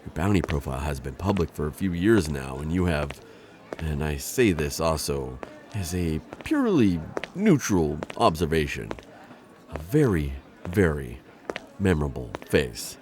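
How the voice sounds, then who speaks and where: A man's voice: very deep voice, big pitch swings, a little slow, noisy background, full character voice. A man playing an awkward male insectoid bounty hunter in an ASMR roleplay, talking in a formal, flustered, rambling way. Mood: earnest. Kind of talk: ASMR roleplay audio drama.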